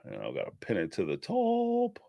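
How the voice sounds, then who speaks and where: A man from the Northeast with a Philadelphia accent hosts his call-in stream in a loose, rambling way. His pitch swings widely as he laughs and riffs. The sound is dry and close, with no room echo. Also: normal speed, creaky. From Northeastern United States. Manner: animated and rambling, laughs often, many fillers. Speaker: live-stream show host taking call-ins. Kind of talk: casual live-stream commentary.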